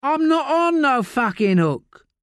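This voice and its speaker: Give me a shrill, agitated young woman suspect, put on by a male narrator, dry close sound. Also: big pitch swings, slow, no room sound, heavily acted.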